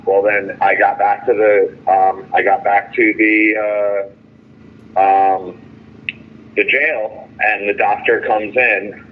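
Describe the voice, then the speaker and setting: An indignant man speaking off the cuff over the phone, halting and repeating himself as he airs grievances in an emphatic way. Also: on the low side, little pitch movement. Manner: halting, repetitive, with stumbling restarts; indignant, emphatic storytelling with quoted dialogue. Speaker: detainee calling in from jail. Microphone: telephone. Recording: tinny, noisy phone line.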